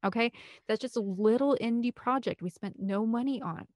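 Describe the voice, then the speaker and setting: A woman's voice: fairly high pitch, moderate pace, a little mellow, dry recording. Candid, opinionated woman in a dry recording. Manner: rambling, opinionated, emphatic. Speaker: interview guest, film composer and director. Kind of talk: candid interview conversation.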